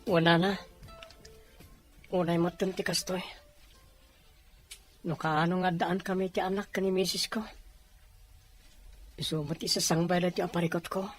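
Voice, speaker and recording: A man's voice: high-pitched, little pitch movement, unhurried, pauses often, faint music behind. A man playing a worried, proud young father-to-be out of work in an Ilocano audio drama.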